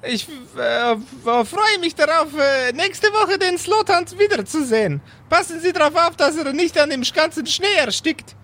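Squeaky, stammering magician rival. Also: male voice, animated, moderate pace, hardly any pauses, bright, forceful tone, exaggerated voice. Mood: smug, plaintive. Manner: stammering, hesitant. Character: prim, stammering male magician rival, abstinent and smug. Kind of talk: improvised tabletop roleplay audio play.

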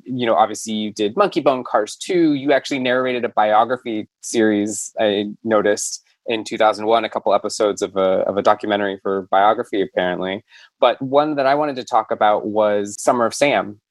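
A man's voice: lowish voice, fairly even intonation, brisk, dry recording. A man hosting an interview, asking questions in a friendly, conversational way. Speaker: interviewer host.

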